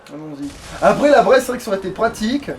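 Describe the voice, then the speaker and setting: A man's voice: sits high, sing-song, very fast. A man playing a game on a let's play, chatting casually and thinking aloud in sweary, colloquial speech, often grumbling to himself. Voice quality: foul-mouthed, colloquial speech. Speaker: let's play gamer at the controls. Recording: noisy home recording, clear background noise.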